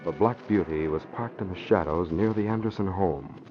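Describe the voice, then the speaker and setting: A man's voice: sits low, moderate pace, faint music behind. A man narrating an old-time radio drama in a scripted storytelling style. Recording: dull, noisy old radio recording.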